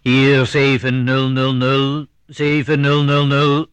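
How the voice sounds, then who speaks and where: An actor playing a grumbling secret agent reporting by radio in a radio drama. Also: male voice, medium pitch, completely flat delivery, takes it slowly, smooth, no room sound, lightly acted. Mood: officious. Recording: noisy two-way radio call.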